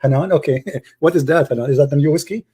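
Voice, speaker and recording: A man's voice: fairly high pitch, quick pace, mellow, husky. A man debating in English, which is not his first language, hosting a heated online debate in a sarcastic, mocking and insistent manner. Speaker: debate host.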